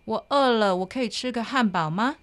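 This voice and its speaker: A woman's voice: fairly high pitch, a little fast. A woman with a Taiwanese accent narrating and reading scripted lines for a children's language lesson. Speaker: presenter and Chinese translation reader.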